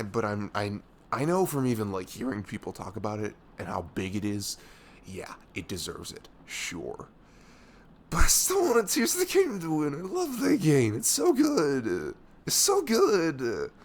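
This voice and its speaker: A man's voice: mid-range voice, sing-song, moderate pace, slight background noise. A man playing a young, affectionate, nerdy best friend in an intimate ASMR roleplay, rambling informally with hesitant, self-deprecating asides. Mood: pleading, excited.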